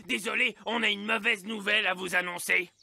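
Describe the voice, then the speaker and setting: Creaky, singsong cartoon gnome. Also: male voice, high voice, fairly even intonation, a little fast, sharp tone, exaggerated voice. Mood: cheerful. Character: food-loving lovestruck male gnome, chatty and cheerful. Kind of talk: children's cartoon dub in French. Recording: music behind the voice.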